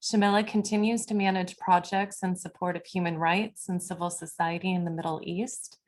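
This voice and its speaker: Woman panel moderator, lower voice, formal and measured introductions. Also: fairly even intonation.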